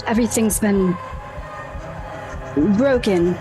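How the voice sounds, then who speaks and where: A woman playing a shaken, guarded character in a tabletop roleplay, halting and bewildered as she speaks. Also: medium pitch, over music, close to an everyday voice. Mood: anguished, searching.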